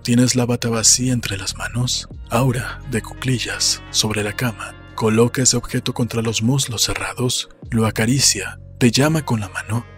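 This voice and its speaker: A man narrates a novel in a measured, solemn way. His voice has a middling pitch and is close on the mic, with music underneath. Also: steady tone. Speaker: audiobook narrator. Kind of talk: scripted literary narration. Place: small room indoors. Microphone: desk microphone close to the mouth, headphones. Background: soft music.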